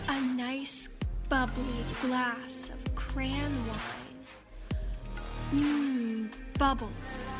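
A woman playing an indulgent drink-commercial character who lingers and sighs with savoring pleasure. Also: fairly high pitch. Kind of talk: luxurious beverage advertisement.

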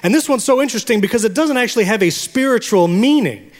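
Pastor of Puerto Rican origin, high-voiced man, preaching and teaching, long hall reverb. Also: lively intonation, quick pace. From Puerto Rico. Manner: teaching, has the congregation repeat words. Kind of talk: sermon. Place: church sanctuary.

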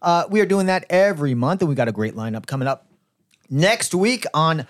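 A man's voice: a little high, lively intonation, fairly quick, dry recording, clean studio sound. A man co-hosting a casual podcast, chatty and playful as he plugs shows. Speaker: podcast co-host. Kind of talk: casual chat.

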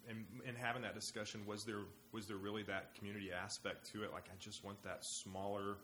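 A man with a low voice hosts a casual panel discussion, talking fast and eagerly. He pushes the talk forward with questions, and faint noise hums behind him. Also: little pitch movement, bright, forceful tone. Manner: quick, eager, leads the questions. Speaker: host.

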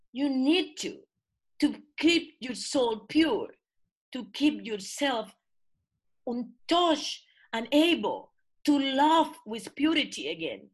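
A woman whose first language is not English, an artist and professor speaking and reading her own poem in an interview. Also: high-pitched. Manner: slow, impassioned, with rising emphasis. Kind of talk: reflective spoken essay and poetry reading.

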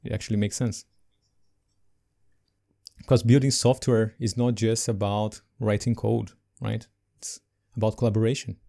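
A man with English as a second language, co-hosting a podcast in casual chat, animated and laughing between points. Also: fairly low pitch, moderate pace, gravelly. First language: not English. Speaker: podcast co-host.

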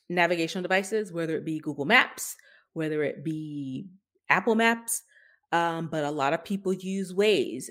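A woman hosting a tech podcast, explaining things casually in a measured way with pauses. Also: on the low side. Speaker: podcast host.

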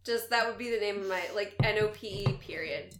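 A woman with a Wisconsin accent, talking loosely on a podcast after a few drinks. She rambles, swears freely and drags out her vowels, at a middling pitch. Her voice rings in a roomy, echoey space. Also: fairly quick, a bit bright. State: tipsy. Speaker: podcast co-host. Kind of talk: casual chat.

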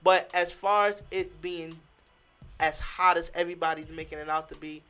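A man's voice: on the high side, fairly even intonation, moderate pace, bright, forceful tone, smooth. A man co-hosting a casual podcast, giving emphatic opinions with plenty of fillers. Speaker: podcast co-host. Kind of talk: casual chat.

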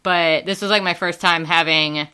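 A woman's voice: lowish voice, steady tone, normal speed, pushed and bright, clean tone. A young woman with a Wisconsin accent, chatting casually as a podcast guest and rambling with lots of fillers and laughs. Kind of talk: casual chat.

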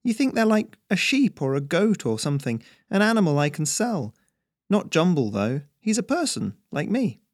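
A man's voice: high-pitched, very animated, moderate pace, no echo, clean studio sound, voice pushed up. A man voicing a puzzled, indignant young farm lad in an audio story. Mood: exasperated. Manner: incredulous, earnest, plain.

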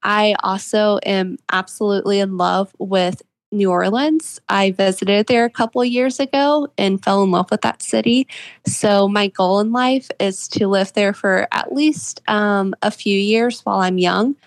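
A woman's voice: mid-range voice, unhurried, no room sound. A woman of about twenty from West Virginia with an American accent, speaking earnestly and agreeably as a guest author in a conversational interview. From West Virginia, United States.

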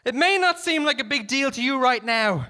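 Man playing a weary police negotiator, shouting high and strained. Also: sing-song, drawn-out words, husky, exaggerated voice. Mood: pleading. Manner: shouting across a distance. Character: weary, dieting male police negotiator, earnest and pleading.

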